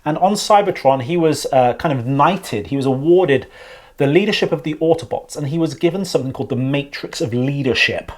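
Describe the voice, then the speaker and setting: A woman's voice: low voice, lively intonation, fairly quick, darkish tone. A woman co-hosting a homemade comedy show, telling tall tales with teasing mock grandeur. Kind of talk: playful comedy banter.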